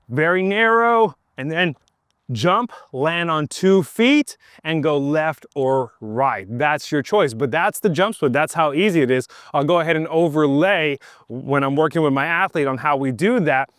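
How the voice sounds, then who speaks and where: A man's voice: a little high, normal speed, clear, resonant tone, no room sound. Young male coach, upbeat, outdoor instructional delivery, dry mic. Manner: energetic, demonstrating moves while talking. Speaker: lacrosse coach. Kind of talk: instructional demonstration. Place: outdoors on a field.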